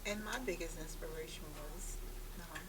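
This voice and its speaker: A woman's voice: low voice, steady tone, moderate pace, over a noisy crowd. A woman in her sixties sharing personal stories as a panelist at a live event. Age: 60s. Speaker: panelist, game inventor. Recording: distant mic, clear background noise.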